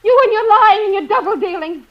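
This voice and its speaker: Endangered wife, very high emotional voice, defiant, old radio thriller, muffled recording. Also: female voice, brisk. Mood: angry, hurt. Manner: emotional, pleading, indignant. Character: frightened, defiant wife in danger. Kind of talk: 1940s radio thriller acting.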